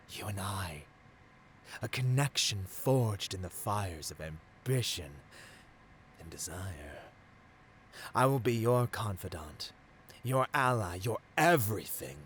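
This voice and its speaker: A man acts out a tempting male villain in an intimate ASMR roleplay. The voice is low and very slow, swinging widely in pitch with theatrical, coaxing menace and slipping often into whispers. There is some noise behind it. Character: seductive male villain tempting a hero toward corruption. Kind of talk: ASMR roleplay, scripted monologue.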